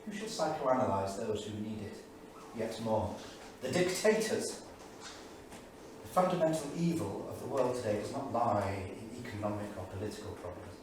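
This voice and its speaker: A man's voice: lowish voice, lively intonation, moderate pace, close to an everyday voice. Man playing a grave brooding intellectual, very echoey, distant. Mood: indignant, searching. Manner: grave, rhetorical, declamatory. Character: brooding 1930s English intellectual man keeping a diary. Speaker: actor. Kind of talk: staged reading of a dramatic monologue. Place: small theatre studio. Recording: distant, echoey theatre recording with noise.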